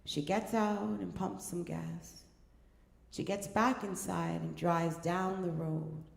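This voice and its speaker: A woman narrating a literary story as a live reading, with measured, deliberate phrasing and pauses. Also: lowish voice, steady tone, gravelly. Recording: echoey hall with long reverb.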